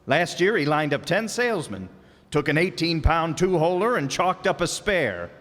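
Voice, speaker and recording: A man's voice: on the high side, very animated, moderate pace, fairly bright tone. A man playing a grumbling put-upon salesman. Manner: grumbling and wisecracking. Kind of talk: live radio play. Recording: live stage with hall reverb.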